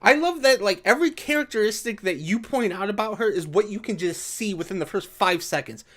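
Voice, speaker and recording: A man's voice: high-pitched, lively intonation, a little fast. A man giving casual, sarcastic commentary as a video creator, with an exasperated and profane way of talking. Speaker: video creator reacting to an article. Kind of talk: casual commentary alternating with reading an article aloud.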